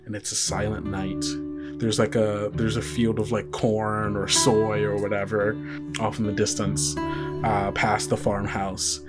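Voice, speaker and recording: A man narrating an improvised tabletop game scene as game master, talking in a halting, conversational way as he pieces images together. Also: lowish voice. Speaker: game master of an actual-play podcast. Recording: guitar music under the voice. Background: plucked guitar music.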